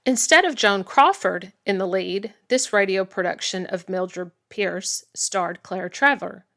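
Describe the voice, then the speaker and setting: Lady narrating from a script, normal middle pitch, presenter style. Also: unhurried, creaky, fairly bright tone.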